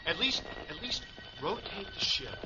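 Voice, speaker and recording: An actor playing an anxious, insistent astronaut navigator in a radio drama. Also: male voice, extremely high pitch, wildly swooping pitch, measured pace, loud music behind the voice, full character voice. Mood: urgent, frustrated. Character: anxious, insistent male astronaut navigator pressing his commander. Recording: muffled, noisy ambience.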